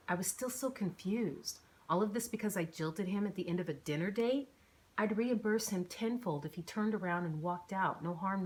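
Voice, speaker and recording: Slightly low-pitched woman narrating an audiobook. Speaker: author narrating own audiobook. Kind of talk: scripted first-person novel narration.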